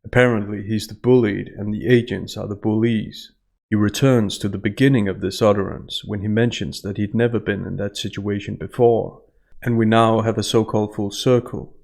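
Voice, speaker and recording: A man giving scripted analytical narration in a measured, formal manner over instrumental music. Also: lowish voice, steady tone, gravelly, a little mellow. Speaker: host analyst of statements.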